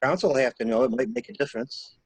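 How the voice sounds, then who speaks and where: A man acting a coolly insistent stranger who questions a suspect in a halting, probing way, in a dramatic mystery reading. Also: mid-range voice, animated, slightly rough, dry recording, poor audio.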